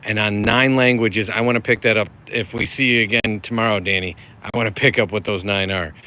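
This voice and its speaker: A man's voice: on the low side, fairly even intonation, a little fast, sharp tone. A middle-aged American man hosting a casual livestream, rambling in a friendly way and reading chat messages aloud. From United States. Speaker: livestream host.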